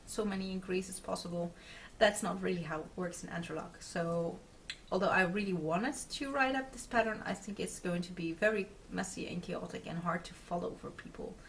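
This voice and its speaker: A woman's voice: mid-range voice, distant mic, slight background noise. A Dutch woman in her twenties, English not her first language, chatting casually to camera as host of her knitting podcast, with lots of fillers and little laughs. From Netherlands. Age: 20s. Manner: chatty, many filler words and giggles. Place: small room at home, indoors.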